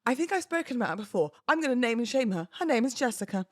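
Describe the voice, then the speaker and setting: A woman's voice: fairly high pitch, big pitch swings, rapid-fire, no room sound. Chatty, theatrical British woman, dry close sound. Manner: theatrical, playful delivery. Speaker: podcast host and wedding celebrant. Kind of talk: chatty solo podcast talk.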